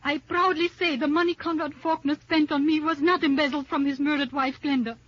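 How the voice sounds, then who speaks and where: High-voiced proud, passionate mistress. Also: female voice, steady tone, fairly quick, fairly bright tone. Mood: proud, defiant. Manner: dramatic, haughty. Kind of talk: 1950s American radio crime drama acting. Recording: muffled, lo-fi old radio recording.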